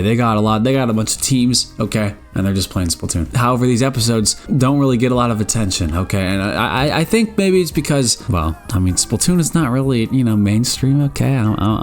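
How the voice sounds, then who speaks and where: A teenage man rambles through casual gaming commentary, stumbling over restarts and tossing in joking "bro" asides. His voice sits at an ordinary middle pitch. Faint music plays quietly behind him. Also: fairly quick, few pauses. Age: around 19. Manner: rambling, stammering restarts, lots of filler asides; animated, joking, exclaims "bro" and "baby".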